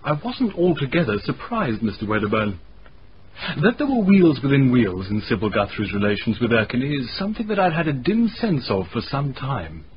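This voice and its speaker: An actor playing an urbane gentleman in a radio mystery, recounting events in a wordy, wry way. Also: mid-range voice, sing-song, normal speed, lightly acted. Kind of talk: dramatic radio acting, narrating. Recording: dull, faintly noisy radio recording.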